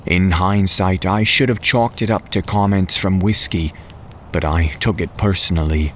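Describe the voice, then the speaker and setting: A man narrating a scripted first-person horror audiobook in a measured, dramatic way. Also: deep voice, steady tone, slight background noise.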